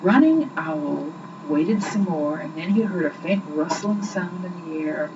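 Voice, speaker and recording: A woman's voice: lowish voice, a little slow, recorded from a distance. Muffled, noisy recording of a young woman storytelling. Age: young adult. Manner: expressive, acts out the dialogue. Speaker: storyteller reading to children. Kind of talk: scripted children's story narration. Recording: muffled, noisy old amateur home recording.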